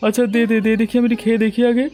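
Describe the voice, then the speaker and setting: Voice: medium pitch, level tone, rapid-fire, mellow, lightly acted. An actor playing a gullible, greedy village man in a Bengali cartoon, with a whiny, pleading manner. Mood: curious. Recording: music behind the voice.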